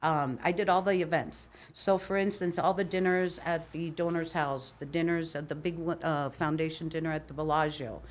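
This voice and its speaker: A woman's voice: low-pitched, normal speed, few pauses. An older woman from Cuba, a boisterous and opinionated guest telling stories in a casual podcast interview. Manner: boisterous, opinionated, animated storyteller. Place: podcast studio.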